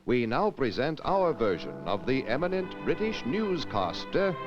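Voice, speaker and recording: An American man announces in a formal 1950s broadcast style, his mid-pitched voice rising and falling in lively fashion. Music plays clearly behind him on a slightly muffled vintage TV soundtrack. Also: a little slow. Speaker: television announcer.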